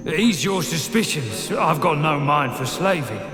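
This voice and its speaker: A man's voice: on the high side, expressive, measured pace. A man playing a weary old dwarf stonemason who speaks formally and courteously in a fantasy game. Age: older. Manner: formal, musing, polite. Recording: echoey cavern with music behind.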